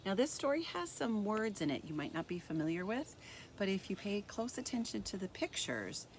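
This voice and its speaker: A woman reading a picture book aloud as a story time host, speaking deliberately and expressively to young children. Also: mid-range voice, slight background noise. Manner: deliberate, expressive, addressing young listeners directly.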